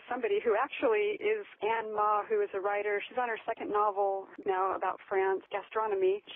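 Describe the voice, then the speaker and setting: A woman's voice: on the high side, no room sound. An American woman, a blogger and author, telling her story in a casual interview, enthusiastic and full of "you know"s. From United States. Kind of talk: casual interview over the phone. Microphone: telephone. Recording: tinny phone line, dry.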